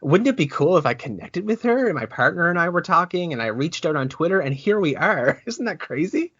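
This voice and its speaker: A man's voice: a little high, sing-song, a little fast, fairly bright tone, no room sound. Eager man hosting a podcast. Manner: eager, stumbling, repeats words. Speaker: podcast host. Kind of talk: casual interview podcast. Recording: dull, dry recording.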